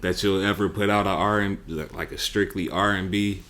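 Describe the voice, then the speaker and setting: A man with a very deep voice who hosts a podcast. He keeps things laid-back and encouraging, and he nudges the talk along with short follow-up questions. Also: fairly even intonation, a little fast, a bit bright. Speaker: podcast host. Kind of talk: casual interview chat.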